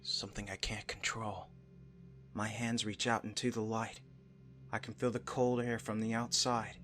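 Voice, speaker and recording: A man's voice: on the low side, level tone, normal speed, a bit bright, soft background music, close to an everyday voice. A man playing an earnest, newly awakened engineered angel soldier in a visual novel game. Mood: brooding. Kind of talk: visual novel voice acting, inner monologue and dialogue.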